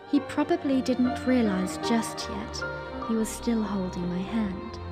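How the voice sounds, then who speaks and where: A woman acts a young princess narrating a romantic fantasy audio drama. She is gently regal and playful, rather high in pitch and slow, over a faint music bed. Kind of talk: first-person storytelling and fan audio drama acting.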